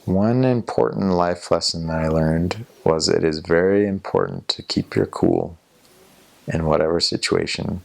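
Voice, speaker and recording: Young man with a very low voice. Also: measured pace, creaky. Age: young adult. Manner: relaxed, rambling with fillers and restarts. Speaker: returned missionary interviewee. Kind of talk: casual interview storytelling.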